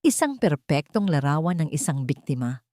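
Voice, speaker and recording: A woman's voice: sits low, big pitch swings, moderate pace, warm tone, no room sound, clean studio sound. A woman narrating a scripted story in a dramatic style.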